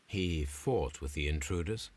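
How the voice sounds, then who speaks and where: An actor playing a composed, probing British gentleman detective in a video game, formal, courteous and deliberate. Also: extremely low pitch, lively intonation, heavily acted. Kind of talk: dramatic video game voice acting.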